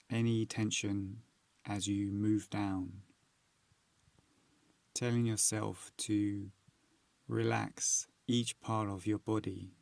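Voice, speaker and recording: Low, slow-paced man guiding meditation. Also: gravelly, darkish tone, no room sound. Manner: unhurried, measured, soothing instruction with long pauses. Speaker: meditation guide. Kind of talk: guided meditation narration.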